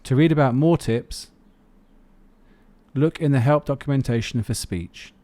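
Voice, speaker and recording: A man's voice: medium pitch, a little slow, dark tone, no room sound. A man narrating a scripted product review as the host of a video.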